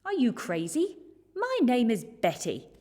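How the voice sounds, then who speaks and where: A woman's voice: fairly high pitch, huge pitch swings, unhurried, mellow, pitched above the performer's own voice, exaggerated voice. A woman voicing an indignant female mountain monster for a picture book, growling and easily affronted. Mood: furious, insulted.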